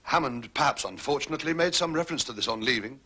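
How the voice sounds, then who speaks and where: An obsequious butler in a period radio mystery. His voice is forceful yet slightly rough, and he talks briskly in formal, carefully evasive phrases, never losing his composure. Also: medium pitch, expressive. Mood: smoothly explanatory. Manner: formal, obsequious, measured hesitations. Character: smooth, deferential male butler, unflappable and evasive. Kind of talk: British period mystery drama acting.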